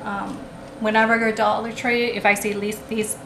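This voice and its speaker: A woman's voice: medium pitch, level tone, fairly quick, gravelly. Chatty woman in an echoey room. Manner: rambling, many ums and restarts. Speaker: haul vlogger. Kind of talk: casual show-and-tell haul. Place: indoors at a table, camera over the table. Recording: echoey room with background noise.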